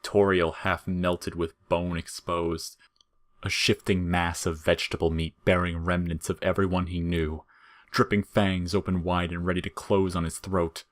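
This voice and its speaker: A man's voice: sits low, little pitch movement, moderate pace. A man narrating scripted audio fiction as the storyteller.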